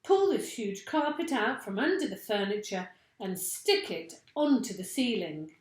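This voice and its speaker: A woman's voice: medium pitch, very animated, measured pace. Lady doing a frantic bossy monkey dad from a kids storybook, barking orders, voice jumps around, roomy sound. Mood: excited, commanding. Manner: barks shouted orders. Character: excitable bossy male monkey father, frantic and gleeful. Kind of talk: children's storybook character voice. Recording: echoey room.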